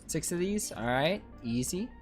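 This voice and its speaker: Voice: medium pitch, expressive, unhurried. A streamer giving casual, rambling, easygoing commentary while playing a video game. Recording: game music behind voice. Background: video game music.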